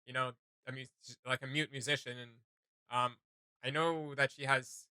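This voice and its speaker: Guy doing game reaction chat, really bright crisp voice, close dry sound, rambly and slangy. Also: medium pitch, steady tone, normal speed, no echo. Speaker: host of a video game reaction show.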